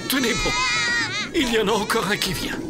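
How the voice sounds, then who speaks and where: A man voicing an excitable animal doctor in a children's cartoon, encouraging and exclamatory. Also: extremely high pitch, a little fast, loud music behind the voice.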